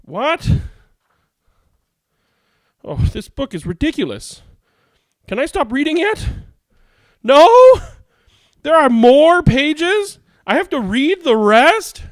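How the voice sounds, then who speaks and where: Male actor as flustered, overdramatic reader in a comic kids' storybook, high and incredulous, swooping intonation. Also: big pitch swings, slow pace, pauses often, dry recording, clean studio sound, full character voice. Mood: exasperated whining. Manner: whiny, incredulous, pleading, overdramatic. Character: exasperated grown-up reader forced to say silly things. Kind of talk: comic children's storybook acting.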